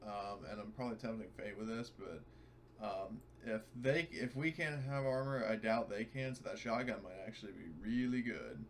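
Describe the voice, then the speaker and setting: A man's voice: lowish voice, moderate pace. A man in casual, sweary chat as a tabletop game player, with noticeable background noise on the microphone.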